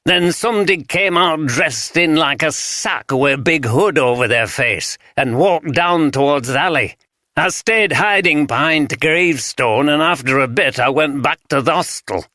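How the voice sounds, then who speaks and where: A man voicing a wary, scruffy homeless man with a broad Yorkshire accent, gruff and guarded. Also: a little high, moderate pace, pushed and bright, no room sound, studio quality, voice pushed up. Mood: recounting. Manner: gruff, guarded, blunt dialect speech.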